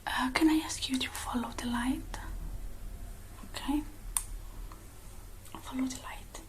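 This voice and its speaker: Woman in a slow, hushed ASMR whisper. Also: sits high, fairly even intonation. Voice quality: breathy whisper, mostly unvoiced. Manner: hushed, gentle, slow with many pauses. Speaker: ASMR roleplay host. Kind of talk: whispered ASMR roleplay, one-sided personal attention.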